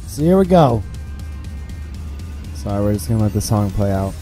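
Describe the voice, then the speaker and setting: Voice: low voice, big pitch swings, normal speed, warm tone. A streamer losing their voice, giving rambling, apologetic casual commentary with many fillers while playing. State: losing voice, hoarse. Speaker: game streamer. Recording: commentary over clear game music.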